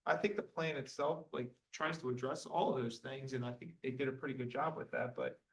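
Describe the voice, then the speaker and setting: Man giving a report, flat and halting. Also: mid-range voice, creaky, far from the mic, poor audio. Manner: hesitant, many ums and uhs. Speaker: township manager. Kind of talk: meeting report. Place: township meeting room. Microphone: table microphone.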